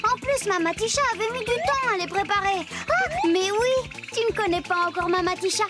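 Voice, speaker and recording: Casting: chirpy little girl host of children's cartoon stories, extremely high, exclamatory, over prominent music. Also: animated, a little fast. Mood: playful. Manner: exclamatory, addresses the listener. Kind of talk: playful narration to young viewers.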